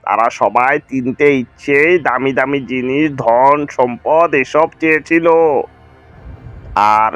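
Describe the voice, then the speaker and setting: A man's voice: medium pitch, takes it slowly, a bit bright, clean tone, no room sound, soft background music. A man voicing a grateful, obliging magical fish that grants wishes in a cartoon folk tale. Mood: amazed. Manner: obliging, full of praise. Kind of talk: animated folk tale acting.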